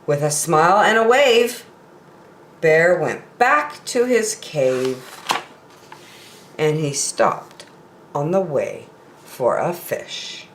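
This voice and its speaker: A woman's voice: sits low, very animated, extremely slow, long pauses, slight background noise. A middle-aged woman reading picture books aloud expressively for young children, in a roomy, echoing home recording.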